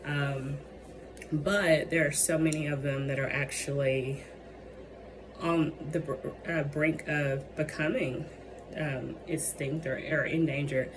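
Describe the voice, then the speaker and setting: Young woman w a low worn-out voice, losing it, lots of ums, noisy background. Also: fairly even intonation, slow pace. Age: young adult. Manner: hesitant, many ums and restarts. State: losing her voice. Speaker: student presenting a capstone project. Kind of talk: presentation with many fillers.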